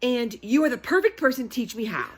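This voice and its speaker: A woman's voice: a little high, big pitch swings, a little fast, husky. A woman voicing a dramatic, bargaining young girl in a children's book, pleading and emphatic. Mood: earnest. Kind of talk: children's book read-aloud.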